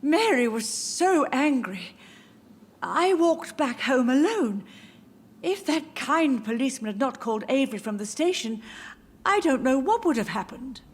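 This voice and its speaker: An older woman playing a grieving, confused elderly mother in a video game, wistful, drifting and gently reproachful. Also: high voice, sing-song, measured pace, slightly rough, heavily acted. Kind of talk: video game dialogue acting.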